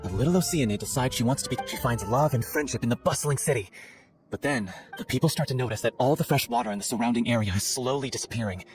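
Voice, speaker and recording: A fast-talking man a game actor as an earnest, excitable playwright. His mid-range voice runs through long, wordy explanations with a storyteller's care, over soft music. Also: medium pitch, natural voice. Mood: earnest storytelling. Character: excitable, earnest male theatre scriptwriter, a nervous fan. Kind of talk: video game voice acting.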